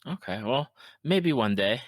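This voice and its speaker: A man's voice: medium pitch, lively intonation, unhurried, no echo, clean studio sound. A man in his thirties or forties hosting a casual interview conversation. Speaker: podcast host.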